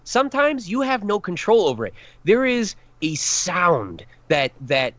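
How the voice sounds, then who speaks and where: Voice actor guy rambling on a podcast, kinda high voice, dry dull sound. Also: unhurried, no echo. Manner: rambling, self-deprecating, animated explanations. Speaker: voice actor guest. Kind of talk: casual podcast chat.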